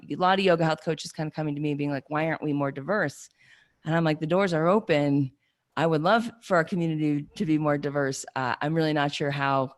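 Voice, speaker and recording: A woman hosting a conversational podcast interview, talking loosely with frequent restarts and fillers. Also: low voice. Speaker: podcast host.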